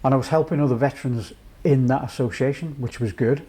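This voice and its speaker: A man from North Wales in his late fifties, a charity chief executive giving a measured, reflective personal account with many pauses. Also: mid-range voice, mellow. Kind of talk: personal testimony to camera.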